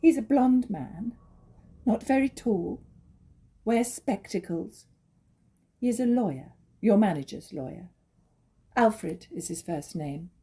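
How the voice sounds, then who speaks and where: An actress playing a naive, jealous young wife, pleading and accusing in stagey dramatic dialogue. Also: female voice, medium pitch, lively intonation, takes it slowly, long pauses, mellow, slightly rough, heavily acted. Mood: pleading, earnest. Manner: imploring, emotional, accusatory.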